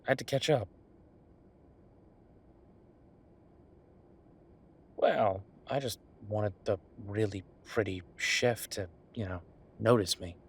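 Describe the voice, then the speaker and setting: A man playing an affectionate, teasing chef boyfriend in an intimate roleplay audio, talking in a conversational, reassuring way with a husky voice. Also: fairly low pitch, normal speed. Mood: fond, playful. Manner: conversational, reassuring, playfully bantering. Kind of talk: intimate one-sided boyfriend roleplay acting.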